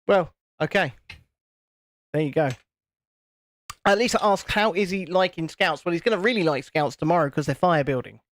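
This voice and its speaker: A man with a naturally high-pitched voice, hosting a casual livestream and cheekily bantering while reading out chat comments. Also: moderate pace, pauses often. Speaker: host of a building livestream.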